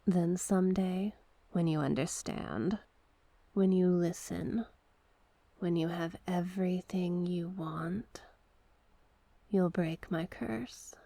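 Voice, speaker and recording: A woman voicing a seductive, manipulative sea witch in an ASMR roleplay, coaxing hypnotically in a breathy, husky voice that often drops to a whisper. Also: fairly low pitch, warm tone, dry recording, heavily acted. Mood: seductive, promising. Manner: slow, hypnotic, coaxing repetition.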